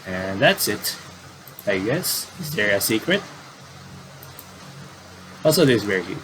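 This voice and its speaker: A man doing casual game commentary and reading aloud, in a halting, rambling way with repeated words. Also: lowish voice, big pitch swings, noisy background.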